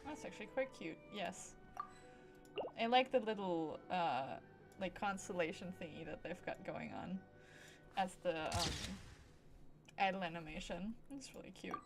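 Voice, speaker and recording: A woman's voice: on the low side, a little slow, pauses often. A woman streaming a video game with casual commentary, playfully muttering short asides to herself. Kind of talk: casual live gameplay commentary. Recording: game music under the voice.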